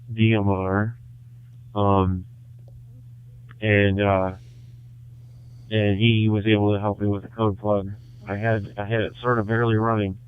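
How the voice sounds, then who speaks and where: A man calling in on a ham radio net, chatting casually in a halting way with many fillers and restarts. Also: fairly low pitch, clear, resonant tone, no echo. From Michigan, USA. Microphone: handheld radio transmitter. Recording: tinny two-way radio audio.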